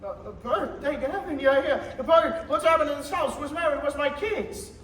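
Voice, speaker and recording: A young man playing a frantic, bewildered family man in a high school stage radio play, stammering and urgent. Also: moderate pace, fairly bright tone. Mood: desperate. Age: young adult. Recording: roomy, distant theater recording with faint noise.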